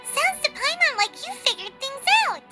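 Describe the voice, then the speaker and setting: Squeaky little fairy companion. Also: female voice, animated, normal speed, loud music behind the voice. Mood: cheerful, reassuring. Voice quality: squeaky, childlike. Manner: chirpy, refers to self in third person. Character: tiny, perky, childlike female companion fairy. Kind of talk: scripted video game voice acting.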